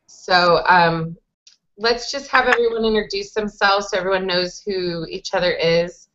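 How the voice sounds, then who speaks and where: A woman's voice: medium pitch, measured pace, a bit bright. A woman in her thirties runs an online group lesson, walking learners through a word game in a friendly, patient way. Her voice sits mid-range and comes through a webcam in a small room, muffled by lo-fi call audio. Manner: friendly, patient, explains rules step by step. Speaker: English conversation teacher. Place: small indoor room with whiteboard. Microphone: webcam video call. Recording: dull lo-fi video call audio.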